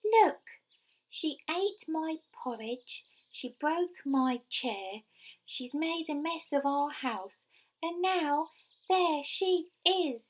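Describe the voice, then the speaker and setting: A woman voicing a squeaky little boy bear in a children's storybook, plaintive and wailing with grievance. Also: animated, drawn-out words, full character voice, rough recording. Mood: tearful, accusing. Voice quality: squeaky, high. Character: squeaky little male baby bear, tearful and aggrieved.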